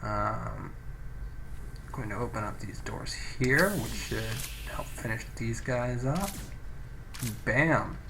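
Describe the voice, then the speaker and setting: A man's voice: medium pitch, animated, takes it slowly, gravelly, long pauses. A man giving casual let's play commentary, thinking aloud in an offhand way into a poor-quality microphone. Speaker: gaming video host. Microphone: cheap low-quality microphone. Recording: poor microphone, faint background noise.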